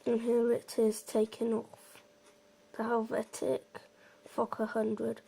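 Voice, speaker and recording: A woman presents a casual game walkthrough in a fairly high, mellow voice. Her delivery is very slow and stays quite level. She explains informally, meandering and hesitating a little as she goes. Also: completely flat delivery, creaky, husky. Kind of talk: casual gameplay commentary.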